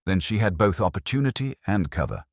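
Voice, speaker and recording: A man voicing a calm, quiet, shrewd police inspector with dry wit in a slow, understated detective audiobook manner. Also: low voice, no room sound, voice pushed up, heavily acted. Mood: quietly probing.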